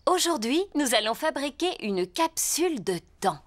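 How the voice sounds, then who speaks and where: A woman's voice: high-pitched, big pitch swings, normal speed. A woman voicing a kind gazelle teacher in a cartoon, speaking patiently and encouragingly to small children. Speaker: playgroup teacher. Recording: cartoon dub with music.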